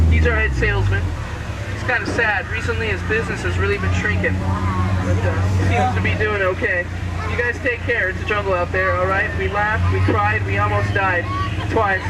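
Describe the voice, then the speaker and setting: Jungle boat skipper, male, quick mock-dramatic quips, outdoor park ride with boat noise and passengers. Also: sits high. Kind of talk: scripted joking tour spiel. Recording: crowd and boat noise, amateur tape. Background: passengers, motorboat and water sounds.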